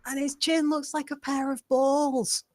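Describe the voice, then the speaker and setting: Woman playing a high-voiced, sullen crew member. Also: little pitch movement, unhurried, a little mellow, no echo, clean studio sound. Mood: sullen, sneering. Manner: sneering, weary complaint. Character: sullen, grumbling, sardonic spaceship crew member. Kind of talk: comedy script table read.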